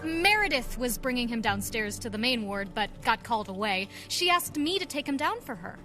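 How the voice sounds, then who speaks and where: A woman's voice: a little high, big pitch swings, moderate pace, bright, forceful tone, soft background music. A woman playing a breezy, evasive nurse bluffing under pressure in a radio drama.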